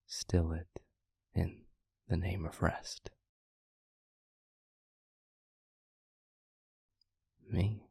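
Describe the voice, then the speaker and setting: A man playing a tender, devoted demon lover in an intimate audio roleplay, speaking gently and hesitantly in a husky near-whisper. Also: sits low, dry recording, heavily acted. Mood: fond, reflective. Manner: gentle, hesitant, slow and deliberate.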